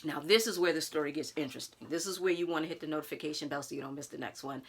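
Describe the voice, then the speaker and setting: Lively storytelling woman, slightly low voice. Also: rapid-fire, slightly rough. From United States. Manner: animated, talks straight to viewers with "y'all", acts out others' lines. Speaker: vlogger telling a personal story. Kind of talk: casual storytime monologue.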